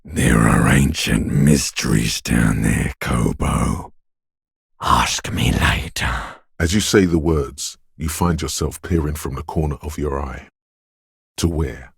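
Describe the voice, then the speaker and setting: A man voicing the eerie, brooding inner voice of a detective's intuition in a video game, with slow, ominous, dreamlike narration and a husky, gravelly quality. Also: a bass voice, dry recording, studio quality, heavily acted. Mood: cryptic, low. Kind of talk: scripted video game narration in second person.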